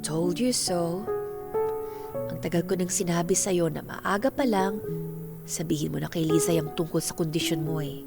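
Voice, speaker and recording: A woman's voice: on the low side, moderate pace, gravelly, long pauses, loud music behind the voice. A woman playing an outspoken, caring middle-aged auntie in a Filipino radio drama, with a blunt, nagging manner. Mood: concerned, nagging.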